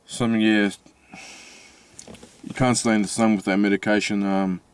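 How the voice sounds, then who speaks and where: An Australian man with a slightly mixed accent from his Italian family, talking casually from inside his car with many pauses and restarts. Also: fairly low pitch, flat delivery, clear, resonant tone. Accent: Australian with Italian-family influence. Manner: halting, many fillers and restarts. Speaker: vlogger. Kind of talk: casual personal vlog.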